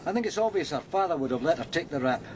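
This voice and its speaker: An actor playing a dry, blunt and dutiful Scottish detective sergeant in a radio crime drama. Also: male voice, fairly high pitch, lively intonation, quick pace, noisy background, close to an everyday voice. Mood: reporting, sombre.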